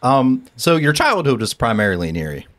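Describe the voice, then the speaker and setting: A man's voice: medium pitch, big pitch swings, fairly quick, fairly bright tone, dry recording. A man hosting a podcast, asking his guest questions in an easygoing, joking way. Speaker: podcast host. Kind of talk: casual interview.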